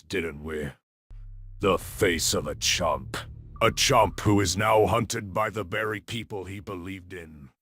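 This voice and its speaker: Comic-book villain, crime boss, low-pitched, unhurried and measured, eloquent, gloating, theatrical, music behind. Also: male voice, a bit bright. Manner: theatrical, grandiloquent, taunting. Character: imposing, gloating male crime lord, eloquent and contemptuous. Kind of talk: dramatic comic-book audio drama.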